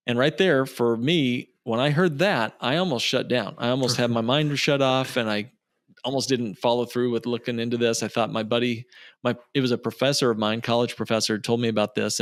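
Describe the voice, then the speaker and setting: A man's voice: medium pitch, no room sound, clean studio sound. A man speaking as a financial planner guest on an interview podcast, explaining conversationally and emphatically, with repetition for effect.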